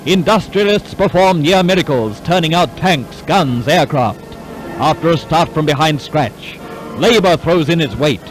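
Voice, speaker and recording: A man's voice: a little high, lively intonation, a little slow, poor audio. A man reading scripted wartime newsreel narration in a clipped, dramatic, declamatory way. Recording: 1940s newsreel soundtrack, music behind. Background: orchestral newsreel music.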